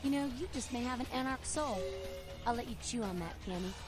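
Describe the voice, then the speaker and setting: A woman's voice: a little high, fairly quick, close to an everyday voice. A woman playing a tough, sarcastic anarch vampire in a video game, with blunt, mocking slang. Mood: smug, teasing. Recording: loud music under the voice.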